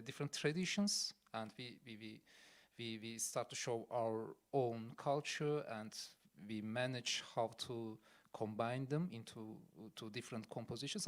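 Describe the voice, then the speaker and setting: Halting Turkish-accented man. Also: mid-range voice. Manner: halting, many fillers and restarts. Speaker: musician. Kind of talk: panel Q&A answers.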